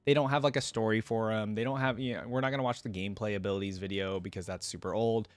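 A man's voice: fairly low pitch, steady tone, quick pace, no echo. A young adult man hosting a reaction video, reading aloud and commenting casually in an informal, think-aloud way into a close desk microphone. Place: indoor room at a streaming setup.

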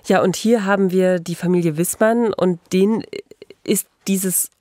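A woman's voice: on the low side, measured pace, dark tone, no room sound, clean studio sound. A middle-aged woman hosting a true-crime podcast in a conversational, engaged and emphatic way.

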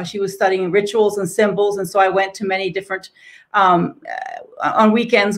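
A woman in her sixties, a guest scholar answering on a video call in a reflective, storytelling way from a home office. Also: medium pitch. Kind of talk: interview answer.

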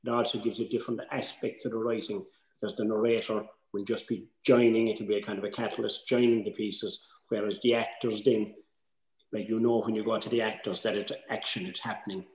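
A man's voice: fairly low pitch, flat delivery, fairly quick. Older man in an echoey room. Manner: explanatory, conversational. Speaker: workshop co-presenter. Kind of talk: informal advice to writers. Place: indoors, room at home on video call. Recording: echoey room, slightly noisy video call.

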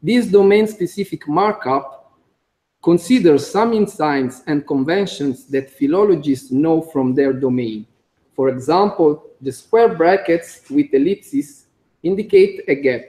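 A man with a strong Italian accent presents a technical talk, reading carefully from his notes and pausing on fillers. His voice sits a touch high but sounds mellow and soft-edged. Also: lively intonation, poor audio. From Italy. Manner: careful, halting, with frequent "uh" fillers and small slips. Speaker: conference speaker, computer engineer. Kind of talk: conference talk read from notes. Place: conference room.

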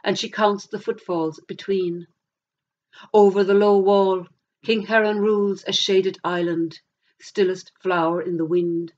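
A middle-aged woman with an Irish accent, a poet reading verse aloud in a measured, deliberate way. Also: medium pitch. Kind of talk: poetry reading. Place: plain indoor room against a bare wall. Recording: dull lo-fi camera sound.

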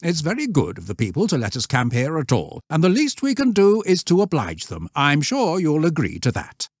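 A man's voice: a little high, wildly swooping pitch, normal speed, no room sound, clean studio sound, voice pushed up. A man voicing a stern adult scoutmaster who gives curt, commanding orders. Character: stern, clipped male scoutmaster.

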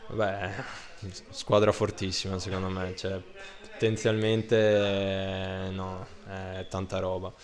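Low, flat-voiced young man, post-match. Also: takes it slowly. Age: 20s. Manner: hesitant, many fillers and restarts. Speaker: football player. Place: indoor room under a ribbed ceiling. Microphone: handheld microphone held up by interviewer.

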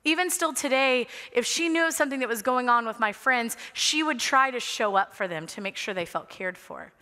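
Woman, 30s, preacher, earnest sermon, slightly high voice, long church reverb. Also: animated, normal speed. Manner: earnest, warm storytelling with light humor. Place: large church sanctuary stage. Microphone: headset mic. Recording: long reverb of a large sanctuary.

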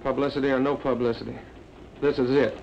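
An actor playing a gruff, menacing gun-toting thug who sneers at his captive, in a 1950s television comedy. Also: mid-range voice, steady tone, quick pace, darkish tone, muffled recording, a little hiss or hum, natural voice. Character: tough gun-wielding thug.

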